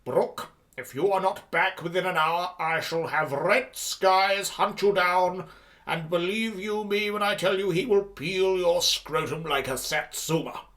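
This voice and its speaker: A man's voice: sits high, unhurried, fairly bright tone. A man playing an irate, threatening boss in a radio play, sarcastic and coarse. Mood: angry, threatening. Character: irate, threatening male boss hunting a missing underling.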